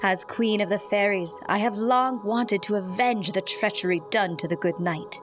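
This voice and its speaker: A woman's voice: medium pitch, moderate pace, pitched above the performer's own voice, natural voice. Casting: stately storybook fairy queen acted by a woman, gracious and formal, slightly dull sound, music behind. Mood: regal. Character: regal, gracious queen of the fairies. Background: prominent music.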